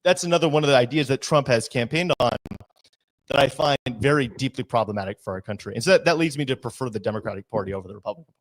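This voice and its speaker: A man's voice: mid-range voice, racing, low-quality recording. Earnest young man explaining his views. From United States. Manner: earnest, explains point by point with examples. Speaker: interview guest, party committee member. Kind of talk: conversational political argument.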